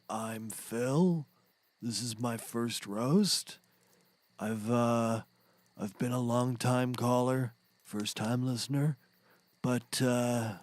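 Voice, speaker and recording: A man's voice: mid-range voice, dry recording. A man playing a foggy, drugged, mystical fellow in a scripted audio comedy, hesitant and rambling in a dreamy way.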